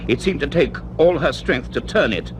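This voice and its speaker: A man narrating a suspense radio serial in a dramatic scripted style, with measured, suspenseful phrasing. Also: a little high, animated. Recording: noisy old radio drama recording.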